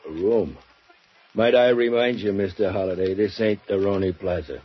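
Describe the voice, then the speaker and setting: An actor playing a sarcastic desk clerk at a cheap hotel, needling and sly, on an old radio drama recording. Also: male voice, on the low side, moderate pace, breathy edge, dull audio. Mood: mocking. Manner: sardonic, needling.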